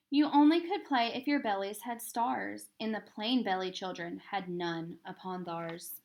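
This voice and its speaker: A woman reading a rhyming children's book aloud in a theatrical, expressive way, stressing the rhythm and drawing out words. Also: medium pitch. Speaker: storybook reader.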